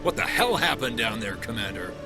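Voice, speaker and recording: An actor playing a curt, irritated underworld dealer in a video game. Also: male voice, mid-range voice, sing-song, fairly quick, natural voice. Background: loud game music.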